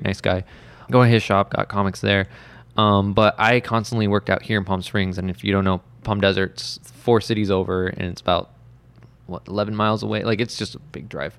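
A man's voice: fairly low pitch, gravelly, clear, resonant tone, dry recording. A man in his twenties, a comic shop owner, talking in a casual, rambling interview with many fillers. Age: 20s.

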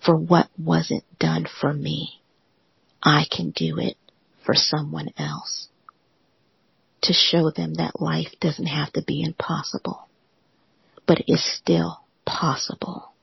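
A host of a live audio room giving a gentle, reassuring motivational talk in a soothing, often whispery voice. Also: mid-range voice, level tone, slow, gravelly, pauses often, husky, no room sound, highs cut off.

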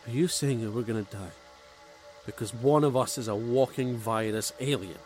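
A man acting a frightened male crew member woken from stasis, halting and disbelieving. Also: medium pitch, darkish tone, soft background music, lightly acted. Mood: anxious. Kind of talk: audio drama acting.

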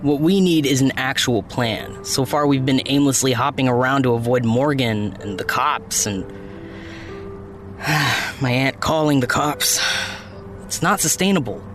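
A man's voice: medium pitch, a little slow, a bit bright, faint music behind, natural voice. An actor playing a blunt, frustrated man on the run who plans for his team in an audio drama. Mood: weary, urgent.

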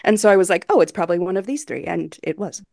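A woman's voice: lowish voice, quick pace, gravelly, a little mellow, no echo, clean studio sound. A woman co-hosting a podcast as a guest, talking casually and jokingly in informal, slangy language. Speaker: podcast guest co-host recommending fan fiction.

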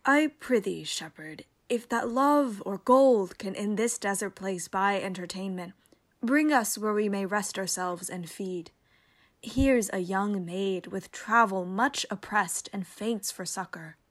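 A woman's voice: mid-range voice, unhurried, natural voice. A woman playing a young noblewoman disguised as a confident youth in a Shakespeare radio play, courteous yet commanding. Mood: courteous, pleading.

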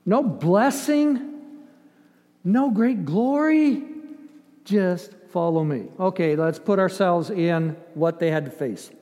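A man's voice: high voice, takes it slowly, warm tone. An older man who grew up in Ethiopia delivers a sermon in an emphatic yet conversational manner, with dramatized asides and rhetorical questions. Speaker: preacher. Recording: long hall reverb.

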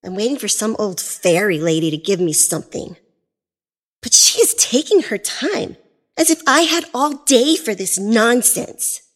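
A woman's voice: medium pitch, expressive, takes it slowly, breathy edge, heavily acted. A woman voicing a spoiled, rude young daughter who whines and snaps. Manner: whiny, snappish.

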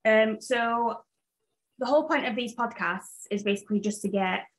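A woman's voice: a little high, moderate pace. A woman in her late twenties, born in Zambia and raised in England, hosting her own podcast in a rambling, casual way with plenty of fillers. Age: 20s. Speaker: podcast host. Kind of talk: casual solo podcast chat.

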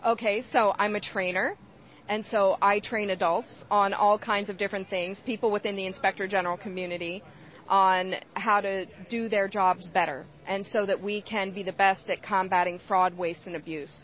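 A woman's voice: medium pitch, steady tone, normal speed. A woman giving earnest, explanatory interview answers in the manner of a trainer. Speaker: interview guest, whistleblower. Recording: dull, lo-fi sound.

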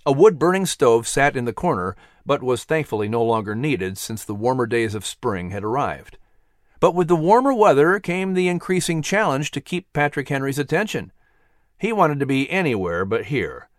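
A man's voice: medium pitch, lively intonation, normal speed, no room sound, studio quality. A man giving scripted narration of a children's audiobook as the storyteller.

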